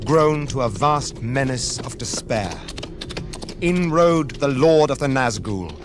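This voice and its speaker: British man, dramatic narration, slow and portentous, mid-pitched, radio drama, faint music underneath. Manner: grave, measured, portentous.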